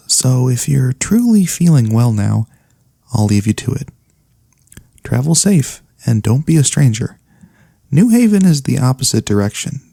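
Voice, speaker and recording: A man playing a gentle elf cleric in a soft-spoken ASMR fantasy roleplay, speaking in a soothing, courteous, slightly formal way. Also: medium pitch, gravelly, breathy edge, no room sound, studio quality, heavily acted. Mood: warm farewell. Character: gentle, caring male elf cleric healer, pacifist. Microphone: close studio USB microphone.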